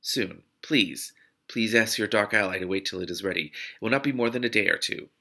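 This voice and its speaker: A man's voice: lowish voice, fairly quick, pushed and bright, exaggerated voice. A man voicing a kindly, earnest woman mystic in a storybook reading, careful and instructive. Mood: solemn, earnest. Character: kindly, earnest woman mystic who crafts magic wards.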